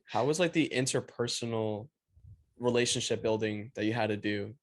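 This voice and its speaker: A man's voice: fairly low pitch, moderate pace. A young man hosts a casual chat over a video call from a small room at home, speaking into a stand mic. He rambles easily with plenty of fillers, his English not his first tongue. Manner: relaxed, rambling, many "like" fillers. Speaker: podcast host. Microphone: studio mic on stand, video call.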